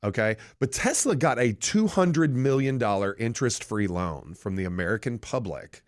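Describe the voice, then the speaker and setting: A middle-aged man hosting a radio talk show in a studio, chatting casually with animated storytelling. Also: normal speed, no room sound. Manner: animated, lively storytelling with big pitch swings. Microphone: studio microphone.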